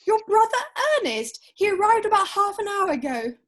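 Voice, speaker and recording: Casting: eager young Victorian miss played by a woman, high, swooping voice, sweetly bossy and coaxing, stage read-through. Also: expressive, moderate pace. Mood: excited. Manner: effusive, coaxing. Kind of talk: amateur play read-through.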